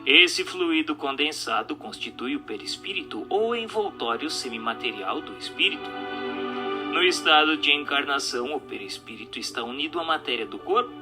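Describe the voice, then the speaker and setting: A man's voice: on the high side, lively intonation, normal speed. A man narrating a scripted audio drama in a formal, declamatory manner. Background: music.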